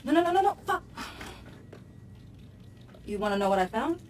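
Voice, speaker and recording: A woman's voice: high-pitched, very animated, normal speed, far from the mic, slight background noise. A woman playing a young, sardonic American hacker in a video game, casual, techy and slangy. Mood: exasperated. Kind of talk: video game cutscene acting.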